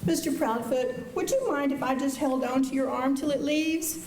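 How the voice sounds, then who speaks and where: Woman as wide-eyed young western shop girl, high voice, coy and gushing, echoey auditorium. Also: moderate pace, darkish tone. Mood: gushing, admiring. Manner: gushing, coy, breathy exclamations. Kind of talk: western radio drama acting. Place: high school auditorium stage. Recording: echoey auditorium with faint hiss.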